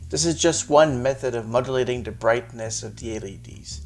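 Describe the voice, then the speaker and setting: A man with a slightly gravelly voice explains his hardware project in a measured, methodical tech demo narration. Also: mid-range voice, soft background music. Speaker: maker presenting his hardware and firmware project.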